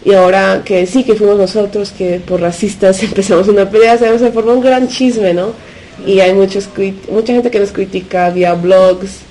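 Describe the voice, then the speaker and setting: A woman from the United States, a native Spanish speaker, talking unscripted as a radio interview guest. She speaks haltingly, searching for words and slipping in English fillers. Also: medium pitch, steady tone, mellow, rough recording. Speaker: interview guest from a punk collective.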